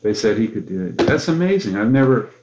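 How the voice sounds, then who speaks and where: On a dull-sounding tape, an American man speaks in a slightly low voice. He puts questions informally, with an attentive, curious manner, as a music interviewer does. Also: very animated, brisk, noisy background.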